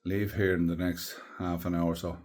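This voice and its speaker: A man giving a low-key, matter-of-fact morning travel update to camera. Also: flat delivery, moderate pace, a little mellow. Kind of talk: casual morning vlog update.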